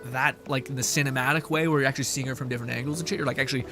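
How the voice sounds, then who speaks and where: Rambling, excitable male game streamer. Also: mid-range voice, rapid-fire, soft background music. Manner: rambling, excitable, heavy swearing, many fillers. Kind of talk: casual gaming stream commentary.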